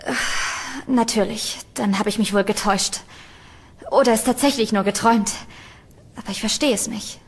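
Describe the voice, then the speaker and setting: A woman's voice: on the high side, moderate pace, slightly rough. A woman playing a frightened, earnest young female student in an audio horror drama, speaking in an anxious, pleading way. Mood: unsure.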